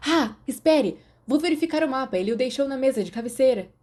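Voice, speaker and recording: An energetic, friendly teenage boy, voiced by a woman reading an audiobook. He speaks up in a raised pitch, casual and brisk, and gets flustered easily. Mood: eager. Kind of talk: audiobook character dialogue.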